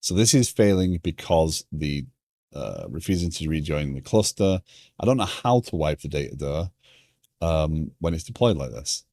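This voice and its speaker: British guy w slightly americanized accent, low voice, thinking out loud on a coding stream, dry close sound. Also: lively intonation, normal speed, dry recording. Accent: British, softened by years in America. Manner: thinking aloud, stop-start, chuckling. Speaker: live streamer, programmer. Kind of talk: casual live-stream chat while coding.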